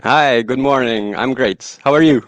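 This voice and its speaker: A man from Belgium with a West Flemish accent, a guest agile coach telling stories in a relaxed, self-deprecating way on a video call. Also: mid-range voice, moderate pace, no echo. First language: Dutch. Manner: relaxed, self-deprecating storytelling with many restarts. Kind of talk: casual interview talk.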